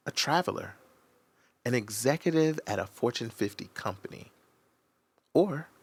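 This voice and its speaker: A man playing a slow, secretive obsessive confessor. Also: on the low side, exaggerated voice. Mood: intrigued, confiding. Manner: measured, deliberate, confiding, dramatic pauses. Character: Josh, secretive obsessive man confessing his curiosity about strangers. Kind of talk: scripted audio drama first-person narration.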